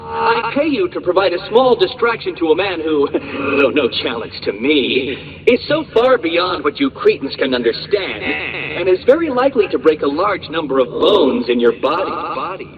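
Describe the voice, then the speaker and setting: A man's voice: fairly high pitch, very animated, moderate pace, crowd noise. Smug condescending game villain man, pompous and swooping all over, big echoing muffled sound. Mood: contemptuous. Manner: pompous, condescending, elaborately worded insults. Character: arrogant, sneering, egotistical male villain obsessed with puzzles. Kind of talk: video game villain taunting. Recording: muffled PA-like sound, long reverb, background voices.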